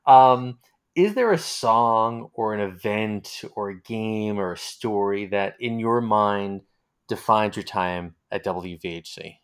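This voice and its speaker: Podcast-style host, male, lowish pitch, conversational and halting, often restating words while forming questions. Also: clean tone. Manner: halting, repeats phrases while thinking. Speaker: interviewer, host. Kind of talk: conversational interview.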